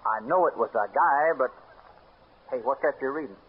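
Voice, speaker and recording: A man playing a pestering, inquisitive young sidekick with a husky voice in an old-time radio western. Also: medium pitch, moderate pace, lightly acted. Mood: nagging, curious. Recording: muffled, lo-fi old radio recording.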